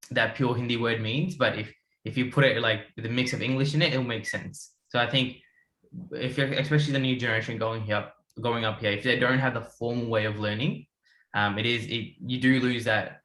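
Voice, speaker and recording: Young man from India, bright tone, rambling delivery, roomy echo. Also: mid-range voice, fairly even intonation, normal speed. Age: 20s. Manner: rambling, many restarts. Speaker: guest. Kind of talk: casual panel chat.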